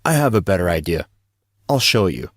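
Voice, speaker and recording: A man's voice: low voice, sing-song, brisk, no room sound, studio quality. A man playing a big, easygoing teenage boy who explains things patiently with a knowing, lightly teasing manner in an audio story.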